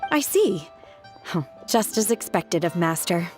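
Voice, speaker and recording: A woman's voice: lowish voice, sing-song, measured pace, a little mellow, faint music behind. An actress playing an earnest, polite young woman disciple in a video game, blunt and matter-of-fact. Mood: sincere.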